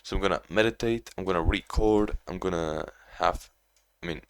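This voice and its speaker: A young man talking casually in a video diary, rambling and restarting, in a monotone way. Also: deep voice, moderate pace, vocal fry, clean tone. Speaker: vlogger.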